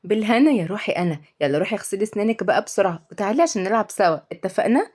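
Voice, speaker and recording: Woman acting as young nanny, fast speech, sweet, coaxing, tender, polite, audio story. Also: mid-range voice, lightly acted. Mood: affectionate. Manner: tender, expressive.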